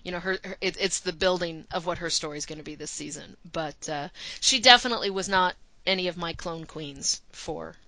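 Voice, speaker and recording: A woman from Texas with a mild Texan accent, co-hosting a casual podcast, chatty and quick to laugh. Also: fairly low pitch, no echo. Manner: chatty, laughs often, animated asides. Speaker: podcast co-host.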